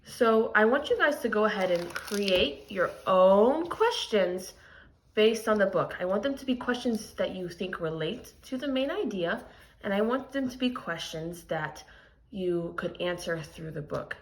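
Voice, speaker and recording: A woman's voice: mid-range voice, animated, unhurried, rough recording. A woman teacher reading a picture book aloud to young students and explaining it as she goes. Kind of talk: expressive read-aloud with lesson commentary.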